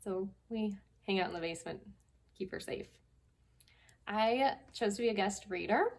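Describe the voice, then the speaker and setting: A woman with a medium-pitched voice speaks to children as a teacher and guest reader. Her talk is casual and chatty, and her read-aloud style is expressive. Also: normal speed, creaky. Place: basement.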